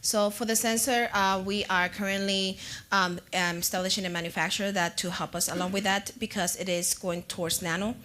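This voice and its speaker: A woman's voice: medium pitch, steady tone, brisk, bright, forceful tone. A woman co-presenting a product, answering questions in a conference room with many fillers. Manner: explanatory, with fillers.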